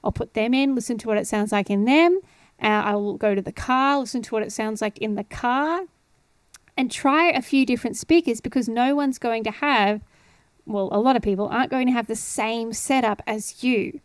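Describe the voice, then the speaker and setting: A woman's voice: a little high, moderate pace, dry recording. A woman in her thirties explaining things in a casual, encouraging, conversational tutorial style, speaking close into a studio microphone. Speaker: host of an audio tutorial series. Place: indoor home studio. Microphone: close studio microphone on boom arm with headphones.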